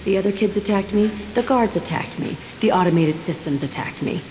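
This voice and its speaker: A woman's voice: mid-range voice, animated, brisk, noisy background, natural voice. An actress playing a tough, bitter young woman, speaking blunt and sardonic.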